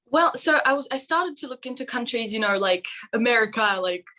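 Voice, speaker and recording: Girl in her 20s on a video call, bright voice, lots of likes and you-knows. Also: fairly high pitch, lively intonation, fairly quick. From Norway. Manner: laughs often, chatty with many likes and you-knows. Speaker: guest. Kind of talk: casual chat.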